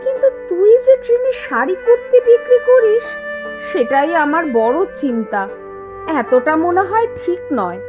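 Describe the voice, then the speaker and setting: A woman voicing an anxious, caring mother in an animated story, with a worried, plaintive way of speaking. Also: extremely high pitch, normal speed, dull audio, music in the background. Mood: anxious, tender. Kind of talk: Bengali animated story dialogue.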